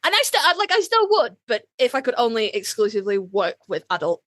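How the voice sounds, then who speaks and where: Higher-voiced woman podcast host, dry close sound. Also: very animated, quick pace, a bit bright, dry recording. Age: adult, parent. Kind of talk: casual conversational chat.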